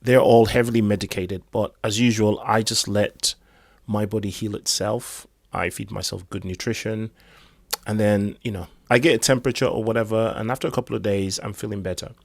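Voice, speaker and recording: Hoarse guy rambling about crypto news, lowish voice, dry close sound. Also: steady tone, a little fast, no echo. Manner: rambling, chatty asides. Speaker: crypto commentator. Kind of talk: casual commentary on news.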